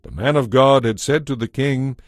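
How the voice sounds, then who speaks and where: A man's voice: mid-range voice, normal speed, no echo, clean studio sound. A man reading scripted narration for an audio Bible.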